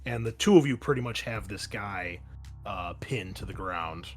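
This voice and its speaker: A man's voice: fairly low pitch, moderate pace. Man running a tabletop game over music. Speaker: game master. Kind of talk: narrating a tabletop roleplaying game. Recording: music playing behind the voice. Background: background music.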